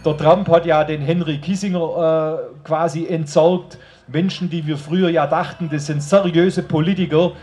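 A man with a Swabian accent and a slightly high voice speaks from an outdoor stage. His delivery is informal and colloquial, as a rally speaker talking straight to the people in front of him through a handheld microphone. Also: fairly even intonation, normal speed, a little mellow. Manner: colloquial, addressing the crowd directly. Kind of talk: informal speech at a political rally. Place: outdoor stage under an open tent.

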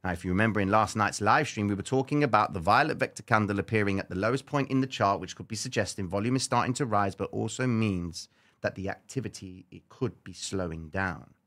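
A man's voice: on the low side, brisk, a bit bright. A young man from Cyprus giving casual live market commentary, chatty and informal, talking straight to his viewers on a close studio microphone. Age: late 20s to 30s. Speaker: host of a trading livestream. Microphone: close studio microphone on an arm.

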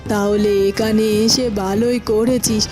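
An actress voicing a gracious, gently chiding queen mother in a cartoon. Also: fairly high pitch, little pitch movement, unhurried, over music, lightly acted.

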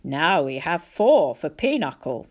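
Woman playing older male teacher, storybook mentor, kindly, courteous, wry, expressive intonation. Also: sits low, exaggerated voice. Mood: genial. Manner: courteous, measured, gently wry.

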